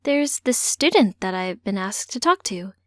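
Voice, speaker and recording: A woman's voice: medium pitch, expressive, unhurried, fairly bright tone, no echo, studio quality. A woman voicing an earnest, slightly sheepish grown daughter in an audiobook story. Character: earnest young transgender woman, a teacher, confiding in mother.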